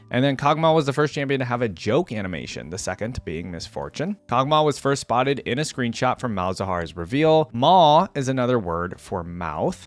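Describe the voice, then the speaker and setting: A young man hosting a casual reaction video, reading a wiki aloud and stopping to react and chuckle. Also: medium pitch. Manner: chatty and musing, breaks off reading to react, chuckles.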